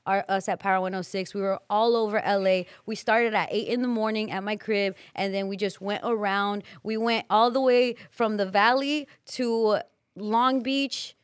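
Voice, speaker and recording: A woman from Los Angeles hosts a podcast in a loose, animated way, swearing casually as she talks. Her voice sits at an ordinary pitch for a woman. The sound is dry and close, with no room around it. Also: moderate pace, no room sound. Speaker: podcast host. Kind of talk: casual chat.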